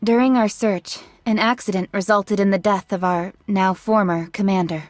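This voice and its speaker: A woman's voice: mid-range voice, fairly even intonation, normal speed, no room sound, studio quality, soft background music, natural voice. A woman playing a businesslike young female android squad leader in a video game, dutiful and curt, speaking formally and with composure. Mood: briefing. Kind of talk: English video game voice acting.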